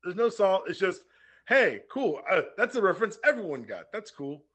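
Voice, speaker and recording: Bright, animated man bantering wryly. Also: sits high, moderate pace, slightly rough. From southern United States. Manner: wry, repeats himself for emphasis. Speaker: podcast co-host. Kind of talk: casual banter.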